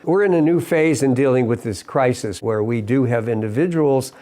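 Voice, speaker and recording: A middle-aged man from Ohio, a mayor giving an earnest, measured statement to camera with pauses and small restarts. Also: mid-range voice, dark tone. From Ohio, USA. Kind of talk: prepared civic statement to camera.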